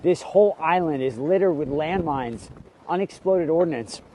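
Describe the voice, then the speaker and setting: Higher-pitched American man reporting outdoors. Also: animated, moderate pace, mellow, poor audio. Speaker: TV news reporter. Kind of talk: on-location reporting and interviewing. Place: outdoors on location. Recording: faint outdoor background noise.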